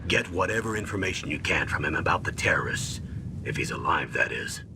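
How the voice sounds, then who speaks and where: A man voicing an older male colonel giving measured orders over the radio, in a noisy game cutscene. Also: extremely low pitch, lively intonation, creaky, full character voice. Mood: briefing. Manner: measured, commanding.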